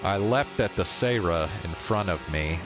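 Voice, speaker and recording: A man with a very low voice narrates a visual novel in the first person. His reading is dramatic and deliberate, moving along at a slow pace. Music plays clearly behind him. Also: lively intonation.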